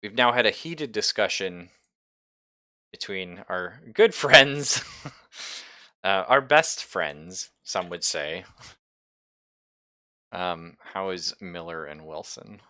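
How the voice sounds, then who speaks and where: A man's voice: low voice, slow, pauses often, bright, forceful tone, no echo. A man improvising narration as game master of a tabletop roleplaying game, conversational and playful, on a close mic. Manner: conversational, playful, swears casually.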